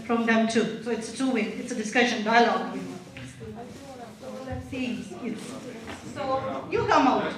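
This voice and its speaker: A woman hosting a community gathering, chatty and inviting, with informal phrasing. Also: high-pitched. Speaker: event host. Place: large indoor event room. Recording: echoey hall, mic at a distance.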